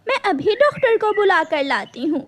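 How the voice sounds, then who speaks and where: A woman's voice: very high voice, sing-song, moderate pace, a little hiss or hum, voice pushed up. A woman voicing a caring, earnest female sparrow in a children's cartoon. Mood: worried.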